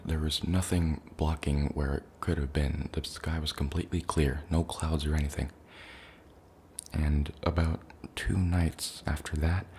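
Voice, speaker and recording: Guy acting a shaken alien invasion survivor, super low gravelly voice, soft halting asmr delivery, some noise behind. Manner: hesitant, halting, plainly worded recollection. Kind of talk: soft-spoken ASMR roleplay testimony.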